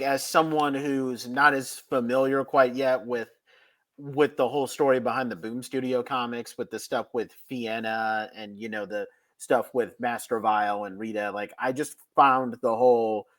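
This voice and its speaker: A man's voice: medium pitch, moderate pace, sharp tone. Male co-host, average register, conversational, circles around his point with sudden emphasis. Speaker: podcast host. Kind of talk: casual chat.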